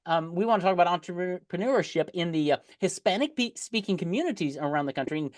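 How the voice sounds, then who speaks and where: A man hosting an interview podcast, talking in a halting way with many restarts and fillers. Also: high-pitched, expressive. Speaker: podcast host.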